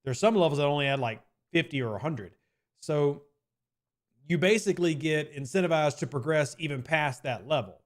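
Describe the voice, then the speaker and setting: A man's voice: a little high, expressive, normal speed. Casual male game streamer. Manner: conversational, hedging with "I mean", repeats points. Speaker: streamer answering chat questions. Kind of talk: casual live-stream chat.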